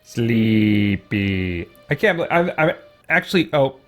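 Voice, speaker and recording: A man's voice: mid-range voice, expressive, measured pace, faint music behind. A man giving casual live let's-play commentary, rambling and thinking aloud as a game streamer.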